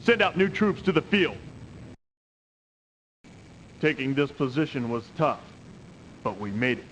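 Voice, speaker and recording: An actor playing a proud, stern emperor at war in a declamatory video game cutscene style. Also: male voice, big pitch swings, measured pace, fairly bright tone, highs cut off. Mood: commanding, determined. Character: proud commanding emperor at war with his brother. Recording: noisy 1990s CD game audio, rumble.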